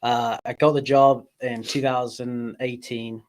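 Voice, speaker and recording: A man in his thirties from England, a golf coach, chatting casually as a podcast guest in a laid-back, halting way. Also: mid-range voice, little pitch movement. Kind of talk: casual interview chat.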